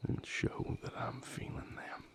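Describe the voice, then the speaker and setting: A man playing an intense, brooding vampire lover in an intimate audio roleplay, speaking in a gravelly, breathy near-whisper. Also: lightly acted. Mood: tender, wound up. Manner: slow, intimate, emotionally intense.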